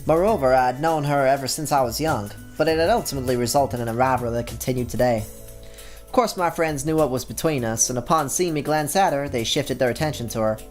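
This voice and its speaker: A man reads a romance visual novel aloud at an even, plain pace, breaking off now and then for a casual aside. Soft game music plays in the background. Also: medium pitch, quick pace. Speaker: YouTube gamer.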